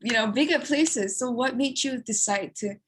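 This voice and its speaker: A young woman in the audience asking a question nervously, with halting repeats. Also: a little high, slightly rough.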